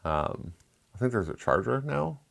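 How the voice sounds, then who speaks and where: A man's voice: mid-range voice, sing-song, measured pace, creaky, fairly bright tone. A man with a lisp hosting a casual product review, chatty and rambling with frequent asides. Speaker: tech reviewer, channel host.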